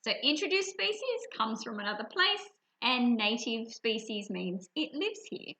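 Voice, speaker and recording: A woman with an Australian accent presenting a picture book for children, explaining things in simple, clear phrases. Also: fairly high pitch, very animated, unhurried, sharp tone. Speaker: read-aloud host for children.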